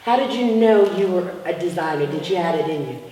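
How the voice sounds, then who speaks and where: A woman's voice: fairly low pitch, animated, brisk, warm tone. Female talk show host, gushing; long hall reverb, distant mic. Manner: gushing, leads the questions. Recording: echoey hall, mic far off.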